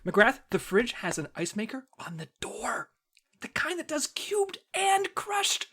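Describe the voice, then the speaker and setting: A woman playing an excitable, gushing secret agent sidekick who is wide-eyed and easily delighted, in an audio comedy drama. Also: a little high, unhurried, breathy edge, exaggerated voice. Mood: gleeful, awed.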